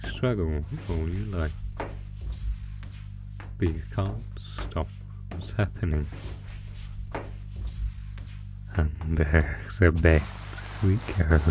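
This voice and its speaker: A man voicing a sinister, sadistic monster from under the bed in a horror roleplay, taunting his prey in a deliberate, mock-genteel, theatrical way. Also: very deep voice, fairly even intonation. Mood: gloating menace. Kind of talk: horror roleplay audio, slow theatrical menace. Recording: music under the voice. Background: music.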